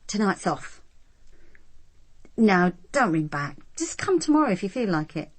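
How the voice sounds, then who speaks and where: A woman's voice: medium pitch, big pitch swings, unhurried. An actress playing a tough, defiant policewoman held hostage, with a British accent and an urgent, challenging manner, in a radio drama. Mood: forced brightness.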